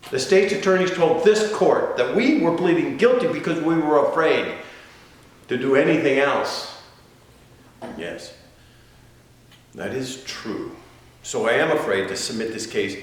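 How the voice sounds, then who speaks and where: In a very echoey room, a man acts out an older male defense lawyer delivering a theatrical courtroom plea. He speaks slowly and with great weight, his pitch swinging widely. His oratory is impassioned, full of dramatic pauses and swells. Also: a bit bright. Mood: somber, deliberate. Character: veteran male defense lawyer pleading passionately for young clients' lives. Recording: very echoey room, faint noise.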